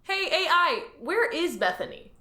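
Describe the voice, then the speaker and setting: A woman's voice: very high-pitched, very animated, unhurried, bright, forceful tone, full character voice. A woman voicing a cheerful, naive male alien on his first day at work in an audio drama. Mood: eager. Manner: cheerful, upbeat, earnest. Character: eager naive male alien new hire. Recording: roomy echo, faint music.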